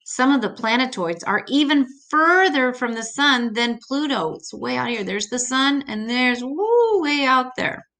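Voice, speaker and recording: A woman's voice: high-pitched, expressive, unhurried, hardly any pauses, a bit bright. An older woman reading an educational children's book aloud, adding simple, patient explanations as she goes.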